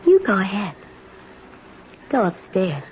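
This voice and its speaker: Insolent female intruder, languid, menacing, deliberate pace, 1970s radio drama, heavy background noise. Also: mid-range voice, big pitch swings. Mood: coolly insistent. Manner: languid, deliberate, softly taunting. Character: sly, menacing young woman drifter, coolly insolent intruder. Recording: muffled, noisy 1970s radio broadcast.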